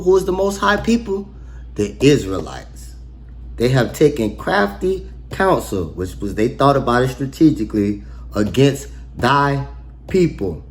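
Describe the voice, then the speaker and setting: A man reading scripture verse by verse and explaining it in casual, slangy, emphatic talk with rhetorical questions. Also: medium pitch. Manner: asks rhetorical questions and pauses for effect. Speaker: online bible teacher. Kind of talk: casual verse-by-verse bible breakdown.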